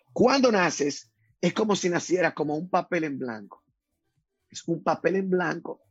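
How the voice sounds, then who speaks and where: A man from the Republic, speaking as a guest on a radio talk show, earnestly explaining his ideas and slowing down to stress points. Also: fairly high pitch, husky, dry recording, lo-fi sound. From Dominican Republic.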